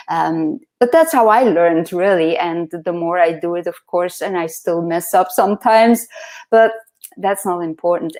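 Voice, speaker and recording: A soft-spoken woman hosting a casual interview over a video call, earnest and reflective, laughing often. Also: lowish voice. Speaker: host.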